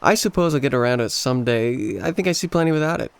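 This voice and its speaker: Awkward young American, creaky and animated. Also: male voice, mid-range voice, quick pace. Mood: modest, casual. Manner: hesitant, self-effacing. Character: awkward, smitten young American man on vacation. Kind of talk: radio play acting.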